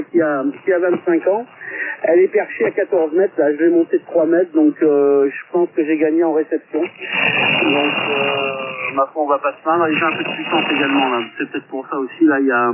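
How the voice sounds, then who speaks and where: A man with a fairly high voice chats casually as a radio operator. He is halting and repeats himself, and the tinny transmission carries faint static and clicks.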